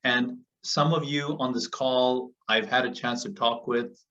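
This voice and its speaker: A man from Africa delivers an earnest, rallying speech to listeners on a group call. His voice is bright and forceful, and he stacks repeated phrases for emphasis. The call audio sounds dull and muffled, with him a little distant from the microphone. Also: medium pitch, fairly even intonation, measured pace. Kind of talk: motivational speech.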